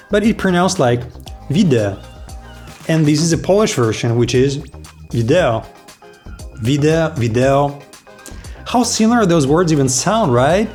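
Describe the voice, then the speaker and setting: A man's voice: fairly high pitch, darkish tone. Playful young man vlogging. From Belarus. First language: Belarusian. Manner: playful, mock-astonished outbursts. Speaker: video creator. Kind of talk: casual vlog talk to camera.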